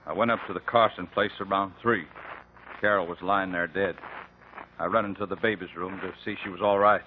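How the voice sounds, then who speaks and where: A man's voice: low-pitched, brisk, natural voice. An American man acting a sullen, stubborn country husband in a 1950s radio drama, speaking tersely in rural phrasing. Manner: terse, flat rural speech. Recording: narrow-band, faintly noisy old radio recording.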